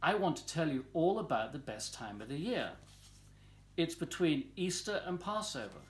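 A man in his sixties reading a children's storybook aloud in an expressive, deliberate storytelling manner, recorded in a living room at home. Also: fairly high pitch, low-quality recording. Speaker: volunteer story reader.

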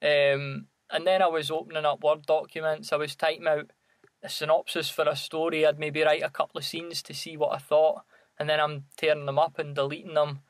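A man's voice: on the high side, little pitch movement, fairly quick, no echo. A Scottish man co-hosting a podcast, chatting casually in a hesitant, reflective way. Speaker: podcast co-host.

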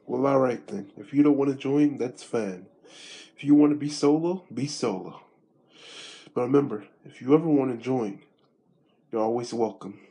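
A man voicing a calm male gang recruiter who speaks low, quiet and laid-back. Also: normal speed, husky. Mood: easygoing.

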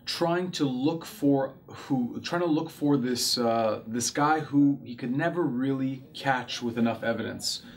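A man's voice: medium pitch, unhurried, hardly any pauses. Eager young man talking about his films. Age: young adult. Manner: eager, with frequent fillers and restarts. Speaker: actor guest. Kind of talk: casual interview talk. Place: small studio set with curtains.

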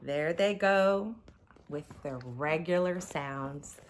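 A middle-aged woman, a preschool teacher, reading a picture book aloud to young children with expressive, deliberate storytelling. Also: sits low. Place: living room at home.

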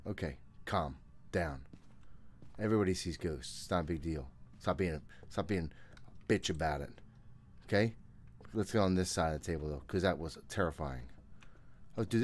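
Male gaming streamer, thirties, low register. Nervous, wisecracking horror playthrough chatter, close desk mic with faint background ambience. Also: darkish tone, slight background noise. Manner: nervous, jokey, profane, self-narrating. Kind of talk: casual playthrough commentary of a horror game. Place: small room at home, indoors. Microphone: desk condenser mic close by, headphones on.

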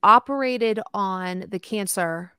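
A woman's voice: mid-range voice, takes it slowly, fairly bright tone, smooth. A middle-aged American woman hosting a casual interview from home, speaking into a close desk microphone. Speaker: interview host. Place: room at home, on a video call. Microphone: desk microphone close to mouth, headphones.

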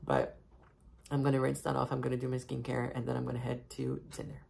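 A man's voice: mid-range voice, completely flat delivery, fast talker, vocal fry, over loud music. A young man whose first language is French, chatting casually and self-mockingly to a phone camera held at arm's length while vlogging a trip. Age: young adult. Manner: chatty, rambling, self-mocking, many fillers. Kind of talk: casual travel vlog chat. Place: hotel room and bathroom, then night street market.

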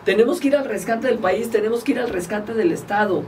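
A woman from Mexico talks as a guest in a small studio, spinning animated stories through easy laughter. Her voice sits at a middle pitch. It is picked up from a table mic some distance away, with clear background noise under it. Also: a little fast. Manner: animated storyteller, laughs often. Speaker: guest politician. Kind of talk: casual interview chat. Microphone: table mic, far from speaker.

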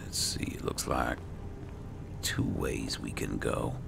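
A man muttering to himself in low-key commentary as he plays through a roleplaying video game. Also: steady tone, slow pace, gravelly, over loud music. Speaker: gameplay host.

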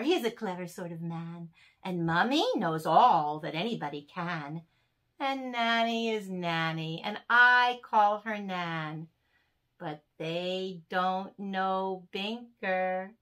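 An older woman plays a little boy from a children's storybook, earnest and fond. The voice is singsong and emphatic, confiding each line at a measured pace. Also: medium pitch, fairly bright tone.

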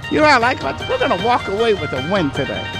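An older man with a hoarse, raspy voice, chatting casually to viewers while playing slots in a casino. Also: high-pitched, big pitch swings, rapid-fire. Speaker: slot machine vlogger. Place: busy casino floor. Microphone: handheld microphone in front of him. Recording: casino slot machine music behind. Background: slot machine music and sounds.

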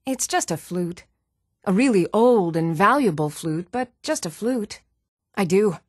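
A woman's voice: mid-range voice, expressive, measured pace, no echo, clean studio sound. A woman voice-acting a spirited, blunt female bard in scripted video game dialogue. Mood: indignant.

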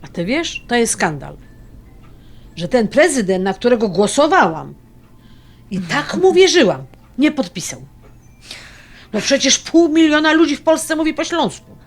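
Casting: older grandmother, indignant and opinionated, lively raised pitch, audio drama dialogue. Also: unhurried, long pauses, heavily acted.

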